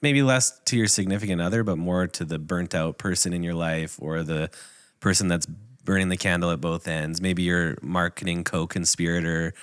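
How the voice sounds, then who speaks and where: Man, thirties, hoarse from a cold, raspy, very low, easygoing joker, dry close sound. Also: steady tone, moderate pace, no echo. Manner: easygoing and joking. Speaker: podcast co-host. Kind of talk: casual chat.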